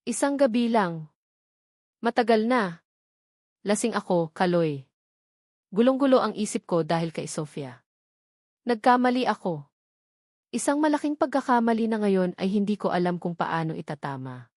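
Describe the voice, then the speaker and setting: A woman voicing a guilt-ridden, frustrated man with an anxious, halting manner in an audiobook. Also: mid-range voice, expressive, a little mellow, no echo, clean studio sound, natural voice. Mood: remorseful. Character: guilt-ridden, frustrated man caught by his best friend.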